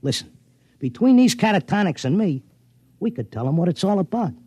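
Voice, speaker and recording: A brash streetwise racetrack tipster. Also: male voice, high-pitched, sing-song, fairly quick, dark tone, slightly rough, no room sound, full character voice. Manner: streetwise and slangy. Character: brash fast-talking racetrack tipster, middle-aged man. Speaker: narrator and lead. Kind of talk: 1950s radio drama acting.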